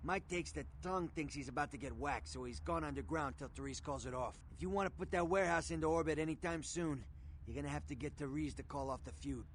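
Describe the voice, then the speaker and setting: Actor as a higher-pitched streetwise fixer. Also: male voice, fast talker, a little hiss or hum, close to an everyday voice. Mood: confiding, matter-of-fact. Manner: gruff slangy tough-guy talk, matter-of-fact. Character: streetwise shady male fixer and weapons dealer. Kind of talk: video game dialogue acting.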